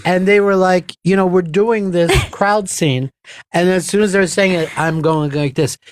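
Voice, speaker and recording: A man hosting a radio talk show, telling a rambling story with halting restarts into a studio microphone. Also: high-pitched, steady tone, dry recording. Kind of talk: casual radio chat.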